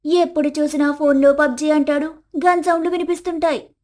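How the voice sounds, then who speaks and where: A gossipy village neighbour voiced by a woman with a high pitch, from an animated tale. She chats in a flattering, complaining way. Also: fairly quick, close to an everyday voice. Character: gossipy village neighbour women airing household grievances. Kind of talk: animated moral story acting.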